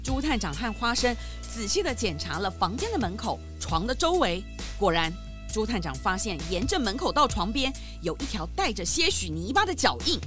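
A woman's voice: medium pitch, big pitch swings, normal speed, music in the background. A woman narrating a scripted children's story as the narrator.

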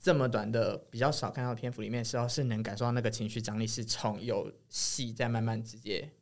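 A man with a Taiwanese Mandarin accent talks in a medium-pitched voice. He sounds like a podcast co-host in casual conversation, informal in his phrasing. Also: fairly even intonation, fast talker. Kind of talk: casual chat.

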